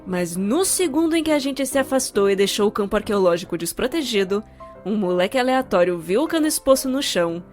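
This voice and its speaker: A woman with a Brazilian accent giving a scripted comedic narration for an animated story, expressive and playfully sarcastic. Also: medium pitch, brisk. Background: music.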